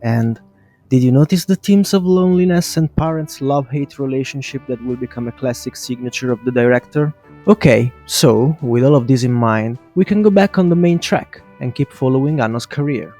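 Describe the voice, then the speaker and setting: A man's voice: mid-range voice, a little fast, warm tone. Brash man narrating over music. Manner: brash, opinionated, addresses viewers directly. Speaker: video essay narrator. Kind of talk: scripted video essay narration with casual profanity.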